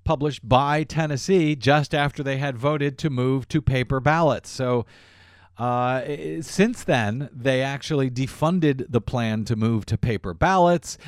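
A man's voice: medium pitch, moderate pace, no room sound, studio quality. A man hosting a political talk radio show, delivering a monologue in a sardonic tone with frequent fillers. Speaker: radio host.

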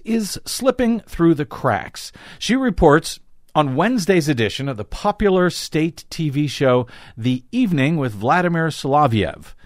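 Animated male talk host, close dry sound. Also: fairly high pitch, no room sound. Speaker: talk show host and commentator. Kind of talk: news commentary, reading article excerpts aloud with asides.

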